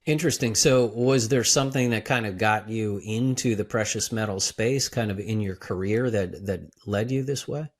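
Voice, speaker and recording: A man hosting an interview, asking questions in a relaxed way with many fillers. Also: on the low side, moderate pace, few pauses. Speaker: podcast host.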